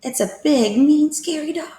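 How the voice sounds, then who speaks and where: A woman voicing a sneaky storybook burglar in a hushed, exaggerated delivery, reading for children. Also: high voice, moderate pace, pitched above the performer's own voice, lightly acted. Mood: alarmed. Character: sneaky, giggly burglar whispering about a dog. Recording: echoey room.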